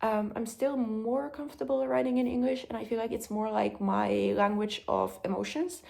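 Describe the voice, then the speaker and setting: A woman's voice: a little high, steady tone, moderate pace, gravelly, darkish tone. A young woman with a Finnish accent, speaking English as a second language, talking candidly and thoughtfully in a personal vlog, with frequent ums. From Finland. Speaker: vlogger. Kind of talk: reflective personal vlog talk.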